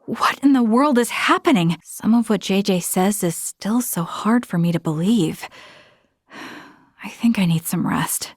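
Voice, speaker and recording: Woman voicing a young female starship officer, earnest, formal, articulate, resolute, medium pitch, close dry sound, video game dialogue. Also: no room sound, close to an everyday voice. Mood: uneasy.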